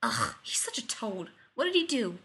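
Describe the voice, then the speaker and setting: A woman's voice: medium pitch, huge pitch swings, normal speed, sharp tone. A woman voicing a blunt, sarcastic, unimpressed woman in a story reading, with exasperated delivery. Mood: skeptical, exasperated. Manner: sardonic, sighing exasperation.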